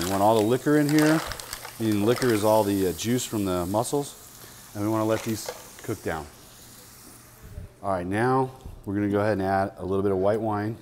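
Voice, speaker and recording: A man in his fifties walks through a recipe in an easy, chatty way, with plenty of "uh"s. His voice sits a bit low. He talks in a restaurant dining room with a faint hum behind him. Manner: relaxed, chatty, many "uh" fillers. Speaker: chef hosting a cooking segment. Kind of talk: casual cooking demonstration.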